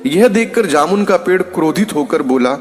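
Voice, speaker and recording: A man's voice: fairly high pitch, lively intonation, moderate pace, big-room sound, soft background music. A man narrating a scripted moral story as a storyteller.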